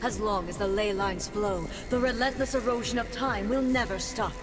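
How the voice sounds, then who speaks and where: An actress playing a proud, stern warrior ruler with godlike power in a video game, speaking in a solemn, imperious, formal manner. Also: female voice, mid-range voice, normal speed, close to an everyday voice. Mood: cold, menacing. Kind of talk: dramatic video game voice acting. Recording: loud music under the voice. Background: loud game music.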